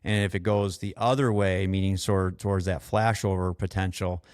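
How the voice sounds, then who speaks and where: A man hosting a podcast as an experienced firefighter, explaining things earnestly in a conversational, halting way with repeated and restarted phrases. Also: low-pitched, fairly even intonation, a little mellow, no echo, studio quality.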